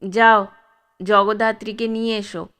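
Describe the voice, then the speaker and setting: A woman's voice: mid-range voice, flat delivery, measured pace, a bit bright, voice pushed down, lightly acted. A woman voicing a harsh, commanding old male dacoit chief in a spoken storybook. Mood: menacing. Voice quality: harsh, gruff. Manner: commanding, threatening.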